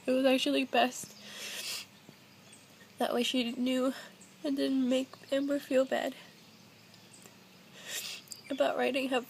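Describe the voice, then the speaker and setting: A young woman shares something personal in a halting, casual way. Her voice is high with plenty of vocal fry, and faint noise sits behind it in a simple home recording. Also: flat delivery. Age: young adult. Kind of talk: personal confessional vlog, casual talk.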